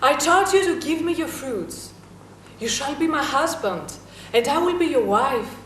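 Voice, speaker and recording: A woman's voice: high-pitched, animated, a little slow, a bit bright, distant mic, slight background noise. A woman voicing a proud, seductive goddess who grandly courts a king, in a theatrical dramatic reading. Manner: grand, coaxing, seductive declamation. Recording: echoey room, voice from off-mic, faint ambience.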